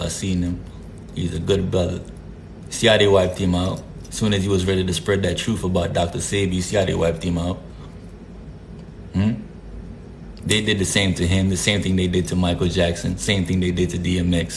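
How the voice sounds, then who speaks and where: Deep flat-voiced Jamaican-born man streaming. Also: gravelly, a little hiss or hum. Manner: laid-back, repeats greetings and fillers. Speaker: livestream host. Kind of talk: casual livestream talk greeting viewers.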